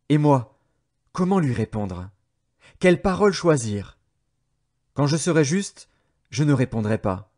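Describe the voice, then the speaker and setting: Higher-pitched man reading scripture. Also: a little mellow. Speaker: audio Bible narrator.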